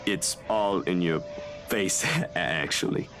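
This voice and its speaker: An actor playing an easygoing, loyal young photographer in a video game, with a folksy, informal manner. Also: male voice, low-pitched, slow, background noise. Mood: easygoing, teasing. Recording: background music.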